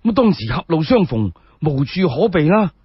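Animated, high-voiced male Cantonese storyteller. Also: normal speed, husky, no echo. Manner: colloquial, expressive, chatty asides to listeners. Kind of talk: dramatic solo Cantonese radio storytelling. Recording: dull lo-fi radio recording.

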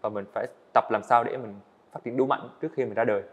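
An earnest eighteen-year-old man tells his story to a podcast host. He talks quickly at a mid pitch, and the sound is a little dull. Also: steady tone. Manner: earnest, storytelling, answers politely with "dạ vâng". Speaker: guest, animator. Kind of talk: podcast interview. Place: indoor studio set.

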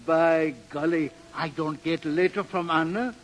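An old sea captain acted by a man in a vintage radio play, high-pitched and slow. He is a simple, excitable fond father who exclaims in broken English, in a reverberant space. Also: a little hiss or hum, lightly acted. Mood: delighted, amazed. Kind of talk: 1930s radio drama acting. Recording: 1938 radio broadcast, reverberant.

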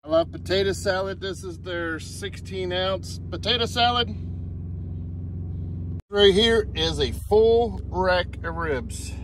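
High-voiced trucker reviewing food in his cab. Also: slow, noisy background. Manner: plain-spoken, talks between bites. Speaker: truck driver vlogger. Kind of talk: casual food review vlog. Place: inside a truck cab sleeper. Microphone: phone camera at arm's length.

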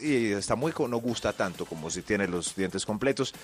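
Animated radio host man. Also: on the low side, a little fast. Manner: playful, teasing, animated delivery. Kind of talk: comic radio banter.

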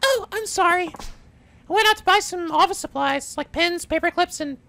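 A man in falsetto as a chirpy young office girl. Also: normal speed, creaky, pushed and bright, full character voice. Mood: breezy. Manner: very high falsetto, breezy. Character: cheerful young female office assistant.

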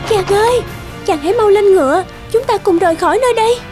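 An actress playing a devoted, anxious young noble maiden in an animated folk tale, urgent and pleading. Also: female voice, very high-pitched, fast, over music. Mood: urgent, frightened.

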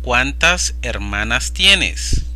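A man's voice: mid-range voice, expressive, bright, forceful tone. A man teaching Spanish, reading practice phrases aloud one at a time with careful pronunciation. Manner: slow, carefully enunciated phrase by phrase. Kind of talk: slow read-aloud vocabulary drill. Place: voice-over over slides.

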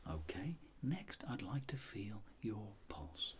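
Casting: middle-aged man, ASMR doctor, soft whisper, lively intonation, polite instructions, slight ambient noise. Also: on the low side. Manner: gentle, polite, slow step-by-step instructions. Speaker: ASMR performer playing a doctor. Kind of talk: ASMR medical roleplay.

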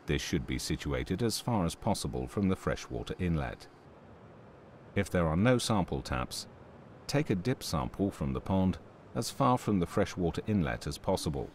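A man reading scripted narration for a training film in a measured, instructional manner. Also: low-pitched, darkish tone, a little hiss or hum.

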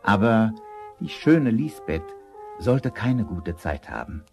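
A man's voice: lowish voice, very animated, slow pace, soft background music, heavily acted. A man narrating a German fairy tale as a scripted storyteller.

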